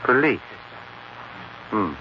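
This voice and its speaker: An actor playing a genteel, coldly menacing murderer in a formal, measured 1940s radio drama style. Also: male voice. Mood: suavely evasive. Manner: measured, formal, mock-courteous. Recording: dull, very noisy 1940s radio recording.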